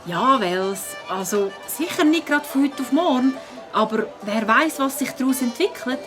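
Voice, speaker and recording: A hopeful cartoon villager talks in a high, measured voice. Music plays clearly behind her. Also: lively intonation. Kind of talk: cartoon character acting.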